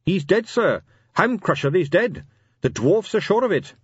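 A man's voice: on the high side, big pitch swings, normal speed, husky, dry recording, highs cut off, voice pushed up. A man voicing an earnest, dutiful police captain in an audiobook story, respectful and deferential in manner. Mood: urgent.

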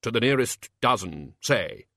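Storybook lecturer, male reader, nervously pedantic wizard, mid-pitched and hesitant. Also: expressive, unhurried, vocal fry, pushed and bright, dry recording, studio quality, full character voice. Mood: nervous curiosity.

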